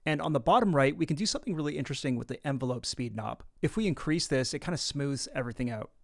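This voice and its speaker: A man presents an instructional tutorial in a voice of average pitch. He explains each step in a casual, orderly way, as a software presenter would. Some music can be heard along with him. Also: expressive, fast talker, vocal fry.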